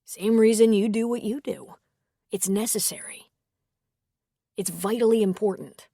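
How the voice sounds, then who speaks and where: A woman voicing a gentle middle-aged man, an earnest former priest, in a warm, softly persuasive read. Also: mid-range voice, a little slow, breathy edge, dry recording, clean studio sound. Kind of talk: audiobook character reading.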